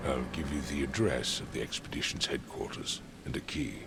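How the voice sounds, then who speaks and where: A man's voice: fairly even intonation, a little fast, background noise. An actor playing a stern, imperious older male aristocrat who speaks formally and commandingly in a video game cutscene. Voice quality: deep gravelly. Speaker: wealthy patron funding an expedition.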